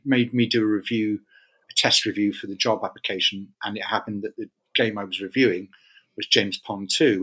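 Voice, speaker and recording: Middle-aged male interview guest from the UK; bright, rambling, self-correcting delivery. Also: fairly low pitch, husky, poor audio. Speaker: guest, games magazine editor. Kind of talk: casual interview chat.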